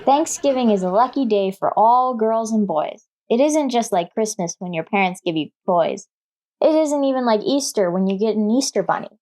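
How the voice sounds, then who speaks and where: A woman's voice: on the high side, animated, normal speed, mellow, lightly acted. An actress playing a stubborn, earnest fourth-grade schoolgirl with an American accent, reciting in an old-time radio sitcom. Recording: noisy old radio recording.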